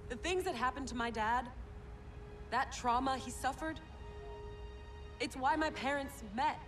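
A woman's voice: sits high, a little slow, music in the background. A woman with an American accent acting an earnest, worried young soldier in a video game cutscene. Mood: anxious. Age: young adult.

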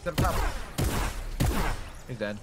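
A man chatting casually and excitedly with teammates in a multiplayer game. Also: slow pace, noisy background. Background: loud game music and gunfire.

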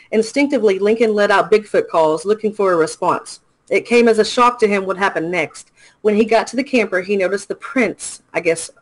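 A man's voice: high-pitched, rough recording. Guy reading a news story on a chill podcast, stumbles and fixes words as he goes. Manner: reads haltingly with small slips and self-corrections. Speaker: podcast co-host. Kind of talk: casual chat, reading news aloud.

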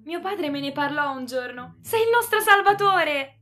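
High-pitched earnest young girl. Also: lively intonation, moderate pace, sharp tone. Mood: curious, hopeful. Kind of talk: children's cartoon acting.

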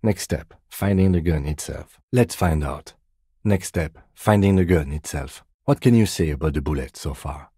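An actor playing a composed, dry-witted, by-the-book middle-aged police detective in a video game, speaking in a measured, precise, deadpan way. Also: male voice, very deep voice, slightly rough, dry recording, exaggerated voice. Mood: measured, serious. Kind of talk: video game character dialogue.

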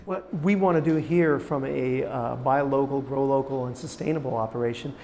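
A man's voice: mid-range voice, normal speed, creaky, a little mellow, slight background noise. A middle-aged man giving an informal interview explanation as a business owner, recorded indoors. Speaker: farm owner being interviewed. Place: indoor room, interview setup.

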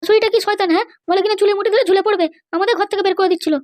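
A very high, shrill voice from a woman plays an aggrieved cartoon mother-in-law. She scolds and complains at a breakneck clip, full of scheming grievance. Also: steady tone, racing. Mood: indignant. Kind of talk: exaggerated cartoon dialogue.

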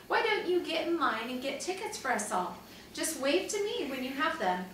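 A woman's voice: high voice, sing-song, normal speed, a bit bright, voice pushed up. Lady doing serious big brother kid from a storybook, earnest, super echoey and noisy. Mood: decisive. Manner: earnest, conspiratorial. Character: serious, watchful older brother, a boy, Henry. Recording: distant mic, very echoey room, noisy.

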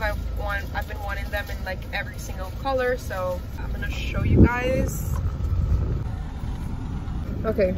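A woman's voice: medium pitch, heavy background noise. A woman in her twenties chatting casually as a vlogger, recorded on a phone at arm's length inside a car. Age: 20s. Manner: switches between English and Spanish. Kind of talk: casual vlog chat. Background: music, car sounds.

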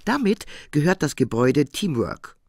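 Policeman, highish male voice, gravelly, matter-of-fact, dry studio radio drama. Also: sing-song, normal speed, no room sound, heavily acted. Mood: explanatory. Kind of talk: crime radio drama acting.

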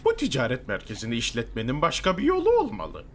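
An actor voicing a haughty, theatrical wizard in an animated comedy, stammering when flustered. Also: medium pitch, quick pace, a little hiss or hum. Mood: haughty, amused. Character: haughty, theatrical wizard, new shop hire, easily panicked. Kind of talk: animated comedy dub acting.

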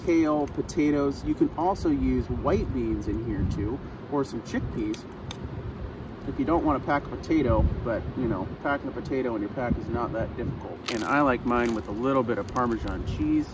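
A man's voice: medium pitch, normal speed, noisy background. A man in his forties hosting an upbeat outdoor cooking vlog on a beach, chatty and enthusiastic, recorded on a camera a few feet away. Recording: distant mic, loud outdoor ambience.

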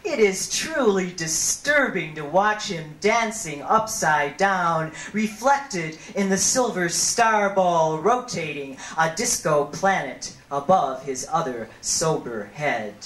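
A woman poet performing spoken word live, with a deliberate, theatrical delivery, on a handheld microphone in a small venue. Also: fairly low pitch, lively intonation, a bit bright. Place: small brick-walled performance venue, indoors. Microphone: handheld stage microphone through a PA. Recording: echoey amplified 1990s live camcorder recording.

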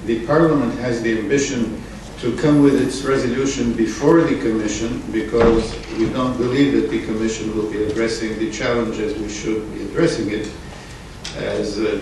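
A middle-aged man from Bulgaria, a parliament member, giving measured formal remarks into a table microphone in a conference room. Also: mid-range voice. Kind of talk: formal remarks at a public assembly. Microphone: table gooseneck microphone. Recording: echoey room from far off, noisy.

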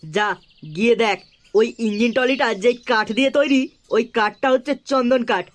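A man's voice: very high voice, moderate pace. A man voicing a cheeky, fawning henchman in a comedy cartoon, teasing and placating by turns. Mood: boastful, reassuring. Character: cheeky, fawning male sidekick of a gang boss.

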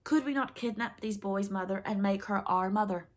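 A woman's voice: mid-range voice, moderate pace, close to an everyday voice. A woman voicing a simple, obedient pirate boatswain in an earnest, deferential storybook manner. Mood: eager.

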